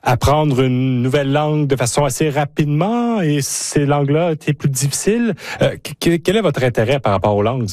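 Radio host, dry studio sound. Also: male voice, fairly high pitch, lively intonation, fairly quick, hardly any pauses, darkish tone, no room sound. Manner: conversational, with small hesitations and repeated words. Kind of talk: radio news and interview.

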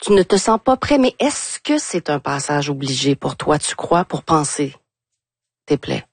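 A woman's voice: deep voice, very animated, a little slow, husky, no room sound. A woman hosting a conversational live interview. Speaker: interview host.

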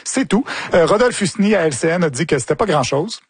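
A man's voice: high-pitched, expressive, slightly rough, no echo. Joking Québécois man hosting a news roundup. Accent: Québécois French. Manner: joking, quick asides. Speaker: host.

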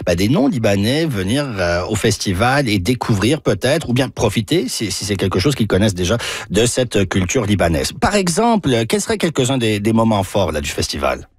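A man hosting a casual radio interview, chatty and relaxed, with many fillers and restarts. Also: lowish voice, fairly quick, slightly rough, dry recording, clean studio sound. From Lebanese roots. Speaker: radio host.

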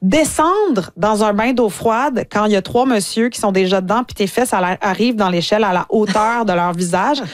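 Lively Québécois woman telling a story. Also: mid-range voice, moderate pace, hardly any pauses, no room sound, studio quality. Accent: Québécois French. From Canada. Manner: lively and self-mocking, playing other people's lines in quotes. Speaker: guest. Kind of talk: casual storytelling chat.

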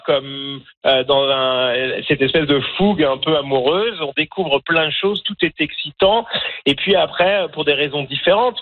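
A man from France with a French accent, a documentary filmmaker speaking as a guest in a radio interview, hesitant and reflective with many fillers. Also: a little high. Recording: tinny phone line.

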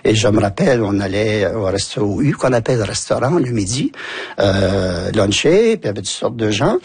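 A husky-voiced man in his sixties with a Canadian French accent, recalling his past at an unhurried, halting pace full of fillers. He sounds close and dry, with no room around him. He comes across as a relaxed guest in a radio studio. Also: fairly low pitch, no echo. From New Brunswick, Canada. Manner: hesitant, many fillers and restarts. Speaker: interview guest. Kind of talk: relaxed storytelling in conversation.

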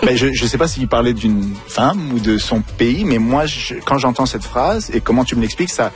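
A man's voice: mid-range voice, expressive, moderate pace, soft background music. Québécois male radio host. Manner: smooth scripted intros, warm follow-up questions. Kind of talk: radio interview. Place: radio studio.

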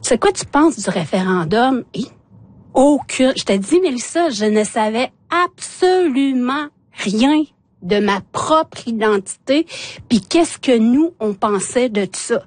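A woman's voice: on the high side, animated, measured pace, no echo. A woman in her forties whose first language is Innu, speaking with a Quebec French accent as a journalist guest who tells her personal story with emotion and animation.

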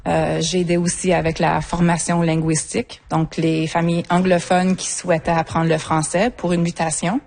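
A middle-aged woman from Montreal whose first language is English, speaking in a somewhat low voice. She answers interview questions in a relaxed, conversational way, pausing often on fillers and restarting her sentences. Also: gravelly. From Montreal, Quebec. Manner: hesitant, many "euh" fillers and restarts. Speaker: guest, association coordinator.

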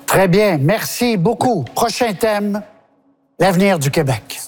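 A man with a Quebec French accent moderating a political debate, briskly keeping time and handing the floor to each speaker. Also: high-pitched, animated, noisy background. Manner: brisk, keeps time, hands the floor over.

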